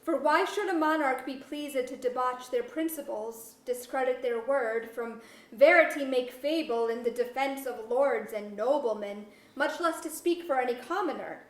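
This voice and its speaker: A woman's voice: high voice, normal speed, close to an everyday voice. A woman playing a principled, unbending monarch in an archaic verse drama, formal and declamatory. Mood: resolute musing. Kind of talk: staged reading of pseudo-Elizabethan verse drama. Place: museum auditorium, live reading. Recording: echoey auditorium.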